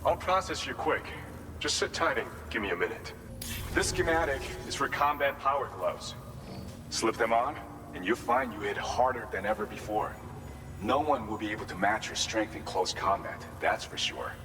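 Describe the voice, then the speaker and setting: An actor playing a helpful, plain-spoken depot clerk in a video game, casual and matter-of-fact. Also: male voice, lowish voice, expressive, moderate pace, music in the background, natural voice. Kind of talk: video game quest dialogue. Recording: long hall reverb, clear background noise.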